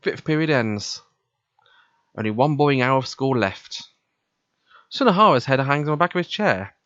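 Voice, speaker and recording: A man's voice: medium pitch, animated, normal speed, fairly bright tone, no room sound, lo-fi sound. A man hosting a let's play, reading visual novel narration aloud and commenting casually, stumbling now and then.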